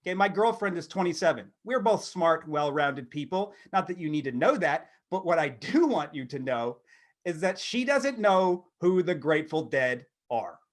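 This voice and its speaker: A man in his thirties co-hosting a comedy podcast, talking casually in a wry, halting, self-deprecating way. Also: sits high, fairly bright tone. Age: 30s. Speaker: podcast co-host. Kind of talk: casual comedic monologue and chat.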